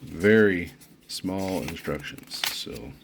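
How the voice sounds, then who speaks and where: A man giving unscripted unboxing commentary as a product reviewer, speaking haltingly with frequent pauses mid-phrase. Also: deep voice, vocal fry, very noisy.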